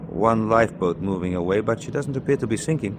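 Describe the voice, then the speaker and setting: Man acting cool submarine first officer, low voice, measured, precise, formal, quietly skeptical, radio drama, noisy background. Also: close to an everyday voice. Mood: observant, concerned. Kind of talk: old-time radio war drama acting.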